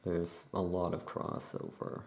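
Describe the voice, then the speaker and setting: A soft-spoken ASMR creator playing a witch shopkeeper, musing aloud in an unhurried way. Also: sits low, monotone, gravelly, roomy sound, slight background noise.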